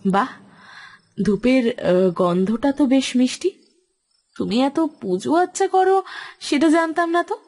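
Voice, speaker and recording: Woman playing a high-pitched excitable female sleuth. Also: a little slow, pauses often, exaggerated voice. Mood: sweetly probing. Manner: breathless, dramatic exclamations and repetitions. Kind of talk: Bengali audio drama acting.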